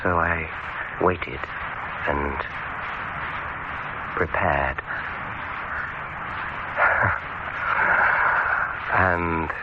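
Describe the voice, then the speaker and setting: An actor playing a vengeful, softly menacing male dentist in a radio horror drama, savouring his cruelty in deliberate, gloating phrases with long pauses. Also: extremely low pitch, steady tone, heavily acted. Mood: cold menace. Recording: muffled, very noisy old radio recording.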